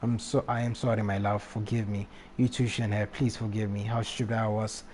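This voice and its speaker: A man live streaming a video game, reading text aloud and commenting casually in a halting way. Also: on the low side, level tone, a little mellow. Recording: faint background noise, game ambience.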